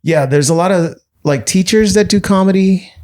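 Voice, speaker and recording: Man, comedian guest, casual chat, higher pitch, hesitant fillers. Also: normal speed, darkish tone. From Los Angeles. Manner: easygoing, laughs often, hesitant fillers.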